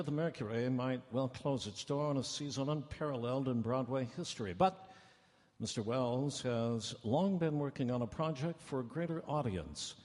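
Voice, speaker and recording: A man's voice: mid-range voice, close to an everyday voice. A man playing a grand 1930s radio announcer with dramatic, declamatory emphasis. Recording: echoey town hall stage. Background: faint live music.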